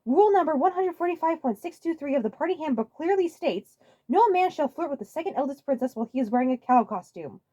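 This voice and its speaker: Woman as a high, pompous toad steward. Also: expressive, fast talker, mellow, muffled recording. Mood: stern, scolding. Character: officious, rule-bound male toad steward. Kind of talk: cartoon fan fiction voice acting.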